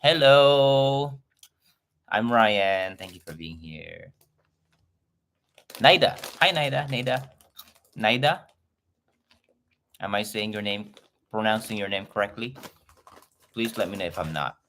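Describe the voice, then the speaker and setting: Chatty man, lowish voice. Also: lively intonation, slow, pauses often, a bit bright, a little hiss or hum. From Philippines. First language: Filipino. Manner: chatty and effusive, greeting viewers. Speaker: livestream host. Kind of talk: casual livestream chat with viewers. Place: home in Los Angeles.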